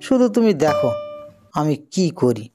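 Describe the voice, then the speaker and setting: Casting: man as earnest young village husband, determined, fairly high voice, dramatic cartoon style over music. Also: big pitch swings, measured pace, dark tone. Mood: vengeful resolve. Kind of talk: cartoon story voice acting.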